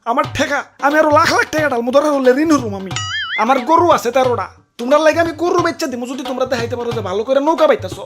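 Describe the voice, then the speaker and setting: A boastful, fretful cartoon buffoon with a Bangladeshi accent. The voice is very high and hurried, blustering in repeated phrases. Music plays behind. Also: animated. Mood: panicky boasting. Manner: blustering, repetitive, colloquial. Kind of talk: Bengali comedy cartoon dubbing.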